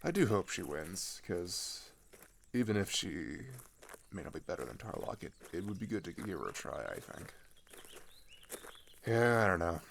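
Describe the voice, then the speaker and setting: A man's voice: low-pitched, sing-song, moderate pace, vocal fry, long pauses, slight background noise, exaggerated voice. A man playing an earnest, disillusioned teenage traveler in an ASMR roleplay, talking hesitantly and conversationally, often trailing off. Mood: exasperated. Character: chatty, earnest, disillusioned male teenager on a journey. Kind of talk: ASMR roleplay, naturalistic improvised-sounding monologue.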